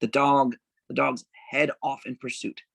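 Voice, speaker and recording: A narrator with a middle-pitched voice reads stage directions from a screenplay. The sound is close and dry, and the delivery is that of an informal table read. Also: level tone, measured pace, creaky, fairly bright tone, breathy edge, dry recording.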